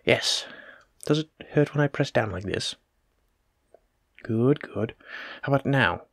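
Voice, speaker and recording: A man's voice: medium pitch, animated, slow pace, gravelly, a bit bright, no room sound, studio quality, heavily acted. A man playing a courteous, learned plague doctor in a roleplay audio drama, speaking formally and reassuringly as he attends to a patient. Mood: gently attentive. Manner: formal, polite, reassuring, wordy. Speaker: physician examining a patient. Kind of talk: soft-spoken roleplay audio drama, addressing the listener.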